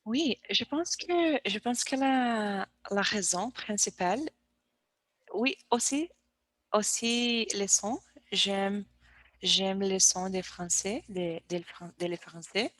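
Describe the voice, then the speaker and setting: Woman, learner of French, very slow and hesitant, dry close sound. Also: medium pitch, fairly bright tone, no room sound. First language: Portuguese. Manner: hesitant, searches for words, repeats herself. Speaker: language learner. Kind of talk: conversational language practice.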